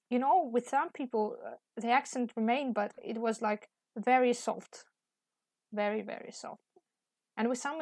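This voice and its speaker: A young woman with a Russian accent talks in an unhurried, halting way, full of "uh"s and false starts. Her voice sits at a middling pitch, and the recording is close and dry with no room sound. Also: vocal fry, dry recording. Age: young adult. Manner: hesitant, many fillers and restarts. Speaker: student. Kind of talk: casual chat while drawing.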